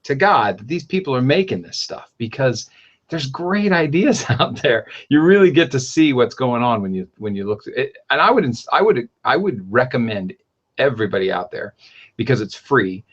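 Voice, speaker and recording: Rambling animated man, lo-fi audio. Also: mid-range voice, normal speed, breathy edge. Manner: rambling, animated, self-correcting. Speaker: podcast host. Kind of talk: casual podcast chat.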